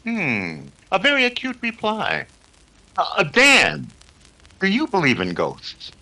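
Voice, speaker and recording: A man acting as a learned psychic investigator in an old-time radio drama. His fairly high voice swoops expressively and rings bright, with formal, erudite phrasing and small hesitations. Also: huge pitch swings, slow, heavily acted, rough recording. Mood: amiable, probing. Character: courtly, learned psychic investigator, a male doctor.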